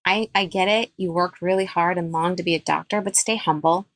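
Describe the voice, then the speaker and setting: A woman's voice: lowish voice, steady tone, fairly quick, no echo. A woman dentist, a guest on a podcast interview, giving firm and emphatic advice.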